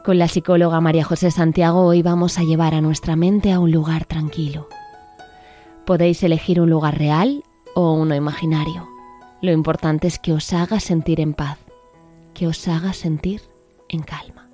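A woman introduces a meditation in a calm, gentle way. Her voice is deep and mellow, with faint music underneath. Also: normal speed. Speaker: journalist presenting a meditation.

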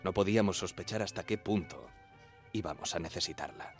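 A young telegraph operator from the 1910s in a radio drama. His voice is low and he tells his story in an earnest, measured way, with music behind him. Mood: measured, reminiscing. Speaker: actor narrating a radio drama. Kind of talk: first-person radio fiction narration.

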